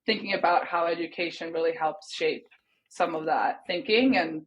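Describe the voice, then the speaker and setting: A woman's voice: on the low side, moderate pace, muffled recording, a little hiss or hum. A woman in her thirties from Sweden, a native Swedish speaker, talking thoughtfully over a video call and hedging often with "sort of" and "kind of". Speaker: guest. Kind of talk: casual interview talk. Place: home room, indoors.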